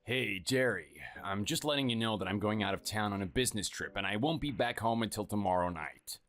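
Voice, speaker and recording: A performer voicing a cold, contemptuous workaholic husband who talks curtly and dismissively. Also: male voice, on the low side, fairly quick, music in the background, close to an everyday voice. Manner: curt, scornful, dismissive.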